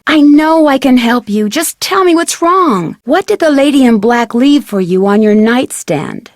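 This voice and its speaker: A woman's voice: fairly high pitch, darkish tone, no echo, clean studio sound, lightly acted. A woman voicing a young, friendly and persistent amateur detective in an adventure video game, speaking earnestly and politely. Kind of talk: scripted adventure game dialogue.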